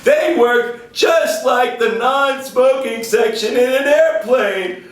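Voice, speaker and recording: A man's voice: very high-pitched, slow. A man voicing a smug, chuckling male regulator puppet in a satirical puppet show. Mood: gleeful. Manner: chuckling, cheerfully callous. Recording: echoey room, mic far off.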